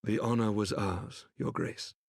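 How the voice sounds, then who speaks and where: A man's voice: fairly low pitch, measured pace, creaky, breathy edge, dry recording, clean studio sound, heavily acted. A man playing a valiant, courteous and loyal knight in a fantasy game, with formal, emphatic speech. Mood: deferential. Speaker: voice actor in a fantasy video game. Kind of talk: cinematic game cutscene acting.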